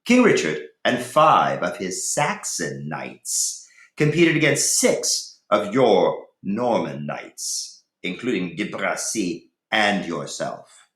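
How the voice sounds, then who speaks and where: A hooded pilgrim of a storybook, bold and loyal, as a male reader plays him. He speaks deliberately and emphatically, with a very mobile pitch and a roomy echo. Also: very animated, fairly bright tone, heavily acted. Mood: defiant. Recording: roomy, echoey room.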